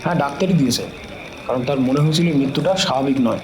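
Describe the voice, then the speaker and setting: A man's voice: medium pitch, fast, lightly acted. A man playing a talkative, deferential police officer in an audio drama, explaining things respectfully and knowingly to a superior. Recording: echoey room, clear background noise.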